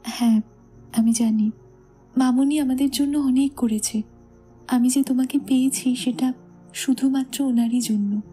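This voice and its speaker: Romantic audio drama: actress as loving young bride, high, mellow voice, shy and sweet, over music. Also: female voice, steady tone, a little slow, pauses often, husky. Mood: sincere. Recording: music bed under the voice.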